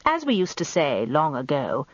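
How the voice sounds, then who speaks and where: A woman's voice: deep voice, huge pitch swings, moderate pace, no echo, lo-fi sound. An older British woman narrating a scripted radio story in a wry, composed way. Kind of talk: scripted first-person narration with acted dialogue.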